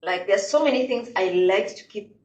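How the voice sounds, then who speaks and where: A woman's voice: medium pitch, lively intonation, moderate pace, a little mellow. A woman hosting a casual interview, chatting and often filling with "like". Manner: chatty, leans on "like" fillers. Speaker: podcast host. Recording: echoey, distant mic.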